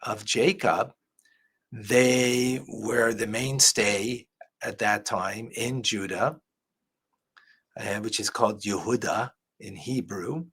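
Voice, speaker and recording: A man's voice: lowish voice, slow pace, gravelly, a bit bright, husky. A man, a rabbi, giving earnest religious commentary as he reacts to a clip. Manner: earnest, preacher-like teaching.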